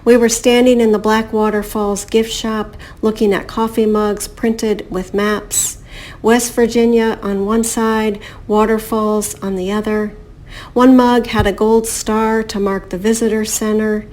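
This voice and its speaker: A woman poet reading her own poems in a measured, deliberate way. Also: mid-range voice, little pitch movement, a little mellow. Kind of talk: poetry reading.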